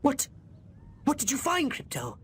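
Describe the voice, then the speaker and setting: A man's voice: very high-pitched, wildly swooping pitch, a little slow, slight background noise, exaggerated voice. A man voicing a bossy, scheming alien commander in a video game, imperious and theatrical. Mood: curious.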